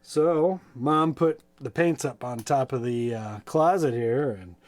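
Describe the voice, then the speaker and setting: A man reading children's stories aloud in a playful, folksy, expressive way, with casual asides. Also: medium pitch, slow pace. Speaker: storytime reader.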